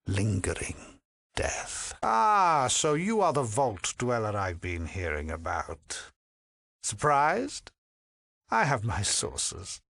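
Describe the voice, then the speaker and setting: A haughty cult priest from a video game. His speech is slow and measured, swinging widely from hushed, silky murmurs to imperious peaks. He is pompous and condescending, with menace under every pious word, all in a close, dry recording. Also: mid-range voice, creaky, dry recording, full character voice. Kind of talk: theatrical video game voice acting.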